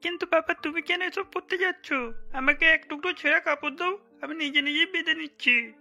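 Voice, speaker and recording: Woman doing timid old milkman from a cartoon, humble, high thin sharp voice, music behind. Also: steady tone, brisk, vocal fry, exaggerated voice. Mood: meek, protesting. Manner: meek, pleading. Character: humble, timid older milkman, deferential and self-effacing. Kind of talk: cartoon dialogue acting. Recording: light music under the voice.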